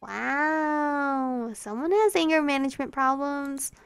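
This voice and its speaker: A woman's voice: high-pitched, slow, gravelly, no room sound, soft background music, heavily acted. A woman doing a smug, goofy teen prankster. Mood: mock-hurt. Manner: smug, goofy, mock-sincere. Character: cocky teasing teen girl prankster.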